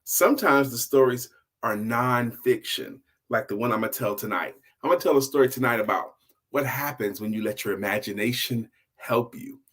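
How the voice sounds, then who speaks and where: A middle-aged man telling stories casually to an audience, with an animated, emphatic manner. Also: mid-range voice, moderate pace. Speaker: storyteller and sales coach.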